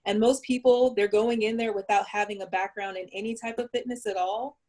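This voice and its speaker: A woman's voice: medium pitch, fairly even intonation, a little fast, a little mellow. A woman panelist, a dancer and bodybuilder, giving firm and emphatic opinions in a casual discussion.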